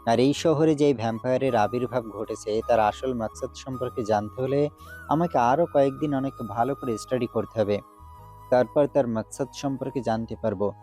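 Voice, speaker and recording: A man's voice: medium pitch, normal speed, mellow, natural voice. A man voicing a resolute, duty-bound demon hunter in an audio drama, speaking earnestly and solemnly. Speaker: voice actor. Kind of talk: Bengali audio drama acting. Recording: faint background music.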